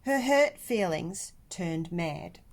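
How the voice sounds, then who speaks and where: A woman reading a children's picture book aloud like a teacher, with deliberate, expressive emphasis. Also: lowish voice. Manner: animated, deliberate, with dramatic emphasis.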